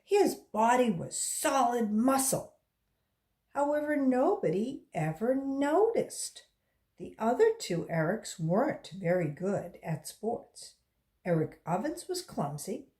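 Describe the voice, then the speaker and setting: Female volunteer storybook reader, higher-pitched and slow-paced, expressive and deliberate delivery for young listeners. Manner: deliberate, expressive phrasing for children. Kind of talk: read-aloud storybook narration with brief asides.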